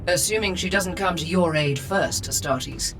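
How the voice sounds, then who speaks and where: An actress playing a proud warrior nun soldier in a video game, speaking in a cool, wry manner. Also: female voice, fairly low pitch, moderate pace, recorded from a distance, over loud music, natural voice, low-quality recording. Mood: coolly wry. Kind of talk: game cutscene acting.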